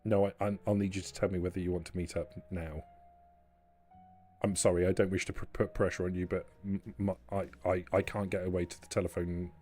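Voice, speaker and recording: A man plays a formal vampire woman in a tabletop roleplaying game, very low and husky. She speaks in measured, careful phrases, guarded and quietly scheming. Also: flat delivery, faint music behind. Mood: urgent, formal. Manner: measured, formal, deliberate diction.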